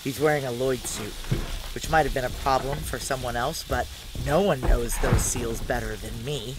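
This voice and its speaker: An actress playing a tough woman soldier fused with alien moss, narrating an audio drama slowly, deliberately and with intense awe. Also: a bass voice, very noisy. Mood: cold resolve. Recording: loud sizzling sound effects behind voice.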